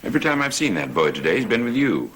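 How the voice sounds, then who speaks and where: An actor playing a stern, defiant husband in a gothic soap opera, curt, brooding and commanding. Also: male voice, medium pitch, big pitch swings, fast talker, a bit bright, slight background noise. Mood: suspicious. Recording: old TV soundtrack with dramatic music.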